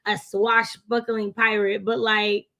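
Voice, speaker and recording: An American woman co-hosting a casual book chat, telling things in an animated, slangy way. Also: mid-range voice, slow pace, vocal fry. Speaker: book readalong co-host.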